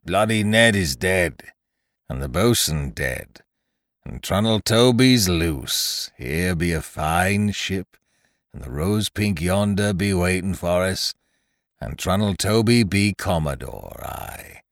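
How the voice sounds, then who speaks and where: Man performing drunken pirate captain, brutal, leering jocularity, adventure storybook, low animated voice. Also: slow, vocal fry, dry recording, studio quality, heavily acted. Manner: roaring, maudlin jocularity, archaic sailor speech. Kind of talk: adventure audiobook character voice.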